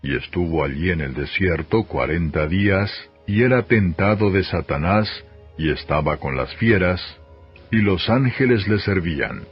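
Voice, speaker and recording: A man with a Castilian Spanish accent reads scripture as a solemn, measured, formal narration. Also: deep voice, lively intonation, husky. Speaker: Bible reader. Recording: dull lo-fi audio, music behind. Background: music.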